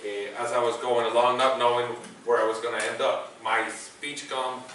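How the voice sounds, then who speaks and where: A man in his forties speaks English with a Salvadoran Spanish accent, chatting easily to a class and poking fun at himself. His voice is bright and forceful, heard from a distance through a very echoey classroom with a faint hiss behind it. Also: medium pitch, flat delivery, normal speed. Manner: self-deprecating, conversational, restarts often. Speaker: guest speaker, sports broadcaster. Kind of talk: public talk to students. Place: university classroom.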